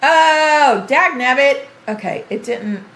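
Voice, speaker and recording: An older woman streaming a video game tutorial, chatty and thinking aloud as she plays, her voice going a bit hoarse. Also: high-pitched, animated, slow, a bit bright, reverberant. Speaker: gaming streamer. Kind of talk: game tutorial livestream commentary.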